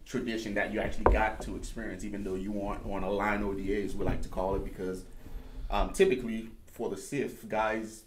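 A man's voice: on the low side, flat delivery, a little fast. A male host in a casual interview, laid-back, mostly nodding along with short agreements and casual swearing. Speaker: podcast host. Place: small studio set at a table. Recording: echoey studio room with faint noise.

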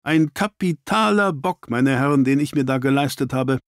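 A man's voice: mid-range voice, lively intonation, normal speed, no echo, studio quality, natural voice. A man voicing an angry, self-reproaching, commanding detective in an audiobook, with clipped, authoritative delivery. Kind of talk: dramatised audiobook reading.

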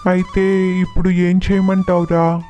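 A man playing a gentle, forgiving elderly father in a storybook tale, speaking mildly with resignation. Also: high voice, monotone, unhurried, faint music behind. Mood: calm, resigned. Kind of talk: storybook dialogue.

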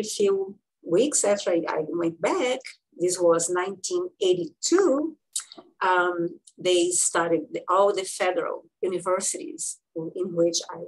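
Brazilian woman in her sixties, a physician guest; low husky voice, halting delivery full of fillers. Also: rough recording. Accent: Brazilian Portuguese. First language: Portuguese. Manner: halting, many fillers and restarts. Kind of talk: interview storytelling.

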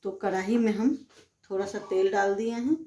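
A woman's voice: mid-range voice, steady tone, moderate pace, heavy background noise. A woman hosting a home cooking channel, explaining each step plainly in her kitchen. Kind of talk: home cooking demonstration voice-over.

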